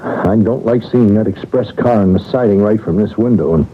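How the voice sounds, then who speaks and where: An actor playing an uneasy, practical railroad worker in a radio mystery drama, hesitant and a little evasive. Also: male voice, fairly low pitch, normal speed, lightly acted. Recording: narrowband old radio broadcast, faint hiss.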